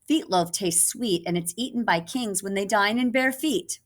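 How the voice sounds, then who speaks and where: A woman's voice: medium pitch, normal speed, voice pushed up, close to an everyday voice. A woman voicing a confident, know-it-all town cook from a storybook, in emphatic sing-song rhyme.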